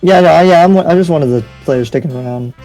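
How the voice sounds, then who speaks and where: A man's voice: a little high, quick pace, mellow, natural voice. A man playing an easygoing, curious demon slayer in an improvised anime game roleplay, casual and hesitant. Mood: casual, apologetic. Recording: music behind.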